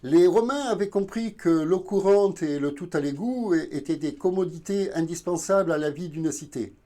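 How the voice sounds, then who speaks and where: Male video host from southern France: fairly high but soft-toned voice, halting explanatory delivery. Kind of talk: informal educational narration.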